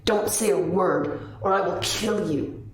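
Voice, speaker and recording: A woman's voice: fairly low pitch, unhurried, lightly acted. A woman voicing an angry, threatening male villain in a fantasy story. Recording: roomy echo, distant mic, faint noise.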